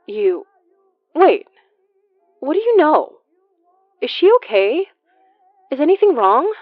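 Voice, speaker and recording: A woman's voice: sits high, very animated, slow, dry recording, narrow, tinny audio, soft background music, exaggerated voice. A woman playing a worried, stubborn young woman who deals with ghosts in a naturalistic audio drama, speaking in an earnest, conversational way. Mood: worried, pressing.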